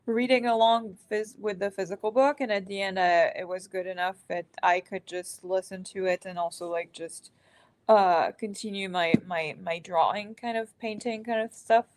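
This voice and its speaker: A woman co-hosting a reading stream in casual chat, talking in a halting, rambling way and sniffling a bit from allergies. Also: medium pitch. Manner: halting and rambling, many fillers and restarts, trailing off. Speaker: co-host of a reading sprint stream.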